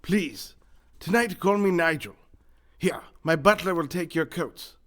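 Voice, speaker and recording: An actor playing a pompous, wealthy newspaper boss with a grandiose, theatrical manner in a comedic radio play. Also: male voice, fairly high pitch, unhurried, close to an everyday voice. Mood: grandly hospitable.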